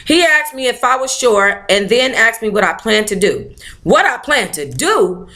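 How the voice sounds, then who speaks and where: A woman's voice: on the high side, very animated, normal speed, sharp tone. A woman hosting a book club, reading aloud expressively with sassy, informal interjections of her own. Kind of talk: expressive read-aloud with playful ad-lib commentary.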